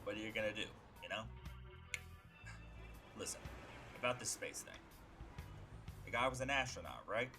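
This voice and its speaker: A man's voice: lowish voice, moderate pace, long pauses, over music, close to an everyday voice. A man voicing a brash but friendly streetwise hitman in a comic dub, talking in a casual, slangy, blurting way. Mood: reassuring. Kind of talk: dramatic comic-book dub dialogue.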